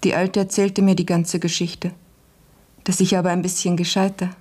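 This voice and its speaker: An actress playing a serious, shrewd young housemaid in a German radio play, speaking plainly and in a subdued way. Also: female voice, on the low side, flat delivery, normal speed, a little mellow, natural voice. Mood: quietly determined.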